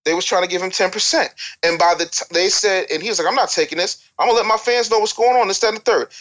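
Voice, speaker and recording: A man's voice: sits high, fast, a bit bright, lo-fi sound. American guy on a talk show, opinionated, emphatic storyteller, repeats lines to drive them home. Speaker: interview guest.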